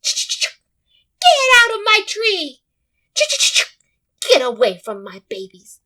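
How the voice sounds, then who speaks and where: A woman voicing a fierce, scolding old mother squirrel from a storybook, bellowing drawn-out threats to protect her young. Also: high-pitched, very animated, bright, forceful tone, voice pushed up, full character voice. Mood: furious, defiant. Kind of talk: storybook read-aloud character voice.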